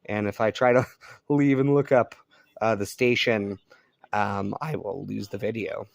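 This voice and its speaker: A man's voice: lowish voice, steady tone, measured pace, vocal fry, no room sound, studio quality. Man, American accent, casual vlog host, laid-back, informal, cell phone recording at home. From Colorado, United States. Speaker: shortwave radio hobbyist and video host. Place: indoors at a home on a rural property.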